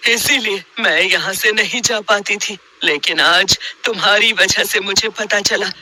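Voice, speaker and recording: A woman voicing a female witch ghost with a slow, threatening delivery in a horror story. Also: medium pitch, bright, forceful tone. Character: menacing female witch ghost on a haunted horse.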